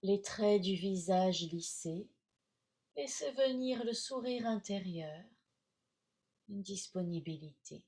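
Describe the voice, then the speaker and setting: Slow-paced woman guiding relaxation. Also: medium pitch, expressive, dark tone. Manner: measured, soothing, long pauses between short phrases. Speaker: yoga nidra teacher. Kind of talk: guided relaxation instruction.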